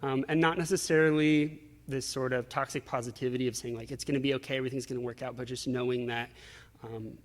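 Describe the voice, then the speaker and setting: A man's voice: medium pitch, steady tone, fast. A young adult man speaking casually and conversationally as a guest in an interview. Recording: long reverberant hall echo.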